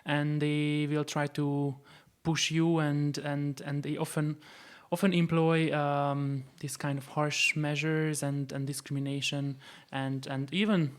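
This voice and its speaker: A man's voice: fairly high pitch, flat delivery, measured pace, few pauses, clean tone. A man from Hungary, a guest talking thoughtfully in a panel discussion and repeating words as he searches for them. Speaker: podcast guest.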